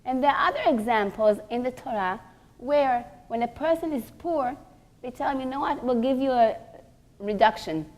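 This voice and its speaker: A woman teaching a religious class in a deliberate, emphatic way, pausing between short phrases and posing rhetorical questions. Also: high-pitched, a little mellow.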